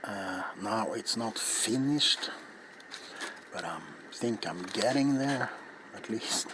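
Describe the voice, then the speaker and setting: A middle-aged man hosts an ASMR craft video in a low, hushed voice. He talks very slowly and haltingly, often dropping to a whisper. Clear background noise sits behind him in a small indoor room. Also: animated. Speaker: ASMR video maker and host. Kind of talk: ASMR, softly spoken craft demonstration to viewers. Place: small cluttered indoor workshop.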